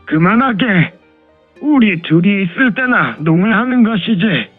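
남자가 연기하는 느릿하고 높은 음성의 무협 마교 대장로. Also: male voice, sits high, lively intonation, a little slow, husky, dry recording, full character voice. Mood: authoritative. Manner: old-fashioned elder speech, authoritative. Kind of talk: Korean martial-arts audio drama acting. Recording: phone-like thin sound, music behind.

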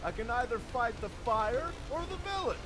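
A man plays a cocky, self-important cartoon superhero in a very high, animated voice. A loud crowd sits behind him. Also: moderate pace. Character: vain, cocky male firefighting superhero.

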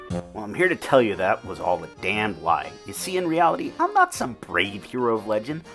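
A man's voice: mid-range voice, fairly bright tone. Wry, theatrical male storyteller. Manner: wry and theatrical, first-person narration. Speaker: narrator. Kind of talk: dramatic fan fiction reading.

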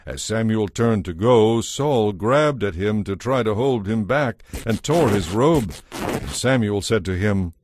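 A man's voice: fairly low pitch, animated, a little slow, darkish tone. A man narrating a dramatized audio Bible in a scripted storytelling style.

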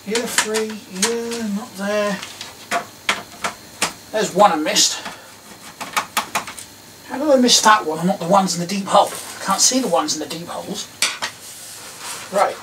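A man's voice: sits high, unhurried, pauses often. A middle-aged man with an English accent, thinking aloud casually and questioning himself as he works. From England. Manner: muttering to himself, self-questioning. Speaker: video creator tinkering with old electronics. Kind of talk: casual thinking-aloud commentary while working. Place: small tiled indoor workshop. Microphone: camera mic a little away. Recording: distant mic, noisy workbench clatter. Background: clear noise, clicks and clatter of tools.

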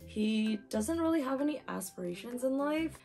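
A young woman with a hoarse voice from talking too much, chatting excitedly while unboxing manga. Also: high-pitched, normal speed, faint music behind. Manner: chatty, excitable, lots of fillers. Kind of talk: casual unboxing chat.

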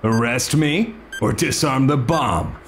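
An actor playing a gleeful, theatrical pyromaniac villain in an audio drama, taunting and grandiose. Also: male voice, medium pitch, slow pace, faint music behind.

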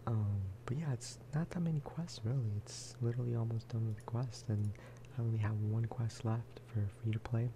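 A man giving a relaxed, casual ASMR gameplay commentary in a soft, breathy whisper, close to the microphone. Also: mid-range voice, moderate pace, hardly any pauses, background noise. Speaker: video game streamer and host.

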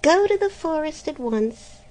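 Woman putting on a bratty older sister, high shrill voice, sulky, threatening, loud and bossy. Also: animated, measured pace. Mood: furious. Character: bullying spoiled older sister girl.

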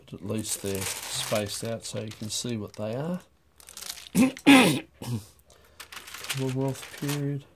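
Slow, dry-spoken man. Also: mid-range voice, creaky, background noise. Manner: dry, unhurried, wry asides. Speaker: stamp auction describer. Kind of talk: off-the-cuff lot walkthrough. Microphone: overhead camera on a desk.

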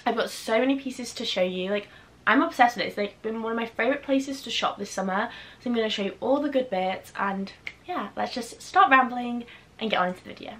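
A young woman, slightly croaky from a chest infection, chatting casually and rambling with lots of fillers as a fashion vlogger in her bedroom. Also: a little high, a little fast, a bit bright. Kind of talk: casual chat. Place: bedroom, indoors.

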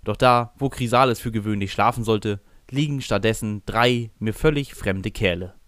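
A man narrates a scripted audio drama in the first person. His voice sits fairly low. The sound is dry, with no room around it. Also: moderate pace, a bit bright, no echo.

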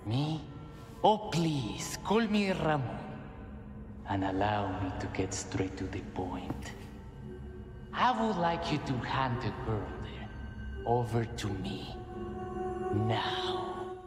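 An actor playing a pompous, theatrical lord of a castle and cult zealot, speaking with courtly, grandiose formality in a video game. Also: male voice, mid-range voice, very animated, slow pace, long pauses. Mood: mock-courteous. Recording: echoey hall reverb, music behind.

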